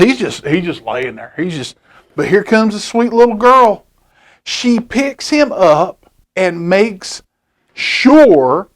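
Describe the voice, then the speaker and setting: A man reading a picture book aloud to children at a library storytime, asking them questions as he goes. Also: high-pitched. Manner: chatty, asks children questions, repeats words.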